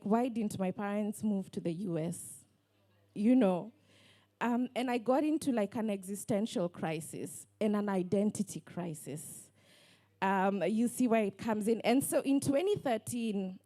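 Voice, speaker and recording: A woman in her thirties speaks on a festival panel with a mellow, warm tone. She tells her story in an animated, chatty way, leaning on "like" and "you know.". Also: mid-range voice, unhurried. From Kenya. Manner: animated storytelling with many fillers. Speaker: panelist, online book lover. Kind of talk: casual panel talk.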